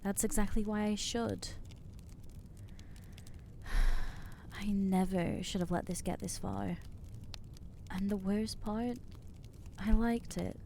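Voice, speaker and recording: A woman's voice: mid-range voice, fairly even intonation, slow, vocal fry, pauses often, mellow, slight background noise. A woman voicing an anxious young female vampire in an ASMR audio roleplay, talking in nervous, stammering, self-deprecating rambles.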